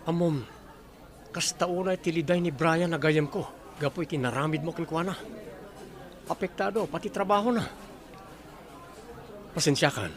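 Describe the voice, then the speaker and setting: A man's voice: a little high, normal speed, long pauses, some chatter behind, close to an everyday voice. An actor playing an earnest young man, a loyal friend, in a radio drama with a Filipino accent. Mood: earnest, reproachful.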